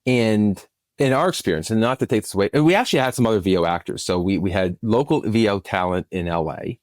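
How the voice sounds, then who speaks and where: Man, producer as interview guest, fairly low pitch, casual, enthusiastic, circles back mid-thought, dry sound. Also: expressive, fast talker, dry recording.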